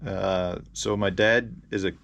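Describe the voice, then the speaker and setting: An American man in his forties telling casual, rambling, halting stories as the host of a fishing vlog. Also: low voice, fairly even intonation, a bit bright. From Indiana, United States. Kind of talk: casual vlog storytelling about fishing gear. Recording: clear background noise.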